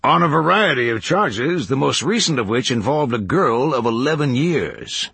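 A man voicing an enormous bald accuser in a Western story, serene and grandiloquent, speaking in a formal, deliberate, oratorical manner. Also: mid-range voice, expressive, vocal fry, fairly bright tone, breathy edge, no room sound, voice pushed up, heavily acted. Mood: emphatic, accusing.

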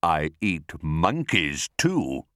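A man voicing an enormous, sly, boastful crocodile in a children's storybook, with a slow, drawn-out, gloating delivery. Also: a bass voice, huge pitch swings, a bit bright, clean tone, dry recording, clean studio sound, exaggerated voice. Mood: gloating, menacing.

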